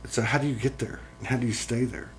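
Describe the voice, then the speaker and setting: A man's voice: mid-range voice, fast talker, slight background noise, rough recording. A man hosting a casual spiritual chat, talking in a rambling, earnest way with many "like"s and some casual swearing. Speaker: host. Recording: guitar playing behind.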